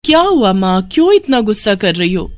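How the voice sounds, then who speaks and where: A woman's voice: medium pitch, sing-song, normal speed, no room sound, close to an everyday voice. A woman voicing a cheerful young daughter who talks in a sweet, coaxing way.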